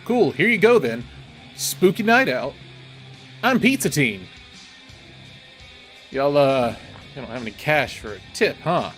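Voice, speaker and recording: Teenage pizza delivery boy, played by a man, laid-back, awkward, slangy, lively pitch swings, game character. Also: a little slow, pauses often, soft background music. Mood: casual, awkward.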